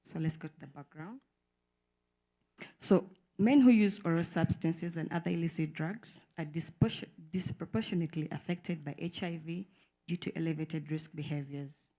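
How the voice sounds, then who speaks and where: A woman presents her research at a conference, formal and methodical. Her voice is very low for a woman, with a dark, mellow tone. She reads her points out in order. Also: moderate pace. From Uganda.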